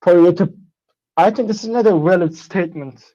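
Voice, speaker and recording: Man, high voice, hesitant and defensive, muffled lo-fi call. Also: a little fast, mellow. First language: Turkish. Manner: hesitant, defensive, searching for words. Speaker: caller. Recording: dull lo-fi call audio.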